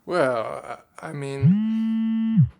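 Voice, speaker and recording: A man's voice: high voice, expressive, drawn-out words, vocal fry, warm tone, no echo, heavily acted. A man acting in an audio play as an earnest, lonely young moon colonist, speaking sincerely and a little hesitantly.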